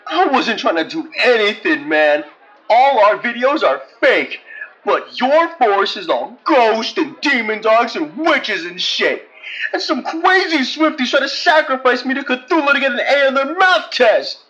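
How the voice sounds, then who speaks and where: A man's voice: extremely high pitch, big pitch swings, a little slow, bright, forceful tone, lo-fi sound, heavily acted. A man playing an indignant young slacker prankster vlogger, complaining in slangy stoner fashion in an audio drama. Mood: indignant, rattled.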